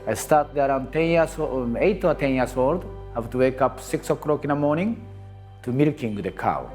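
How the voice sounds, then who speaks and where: A man's voice: medium pitch, expressive, moderate pace, darkish tone, faint music behind. A man speaking broken, non-native English in a documentary interview, restarting words as he remembers.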